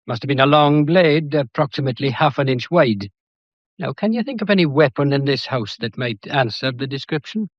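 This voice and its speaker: An actor playing a genial, fatherly Scottish police inspector who questions courteously and gently in a mystery audio drama. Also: medium pitch, animated, fairly quick, dry recording, dull audio, close to an everyday voice. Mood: measured, probing.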